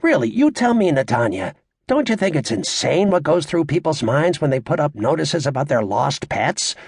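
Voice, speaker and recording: A man playing a brash, crude stand-up comedian working a club crowd in an audiobook, with a raspy voice and a cocky, sarcastic, showy, needling delivery. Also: on the high side, very animated, normal speed, no room sound, studio quality, heavily acted.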